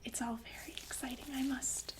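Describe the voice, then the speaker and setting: A woman playing a calm, sinister killer nurse in a whispered ASMR roleplay, speaking politely and matter-of-factly with hesitant, unhurried phrasing. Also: high voice, natural voice, rough recording. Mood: chatty, eerie. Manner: unhurried, hesitant, softly matter-of-fact about death. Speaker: ASMR performer.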